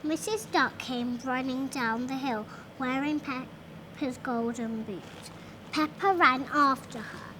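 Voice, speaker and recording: A little girl of about five reads a storybook aloud in a high voice. She goes slowly and haltingly, working through words with care. There is clear background noise on the amateur recording. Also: pushed and bright, rough recording. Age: child, about five. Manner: halting, sounding out words carefully. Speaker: young reader. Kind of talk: reading a children's storybook aloud.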